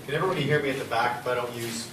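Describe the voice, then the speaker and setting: A man giving a conversational symposium presentation in a loud voice, with frequent fillers. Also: medium pitch, very fast. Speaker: university executive speaker. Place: lecture room or studio space. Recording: roomy hall from far off, noisy.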